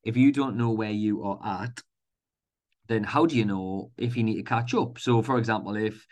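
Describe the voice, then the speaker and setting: Low-voiced Geordie man, chatty podcaster. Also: darkish tone. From North East England. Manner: chatty, informal, many fillers and restarts. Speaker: podcast host. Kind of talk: solo motivational podcast.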